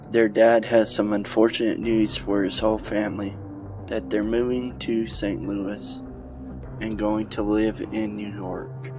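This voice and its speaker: A man's voice: fairly low pitch, rough recording. A man speaking as a podcast host, giving a casual introduction to a show. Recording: loud music behind the voice. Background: music.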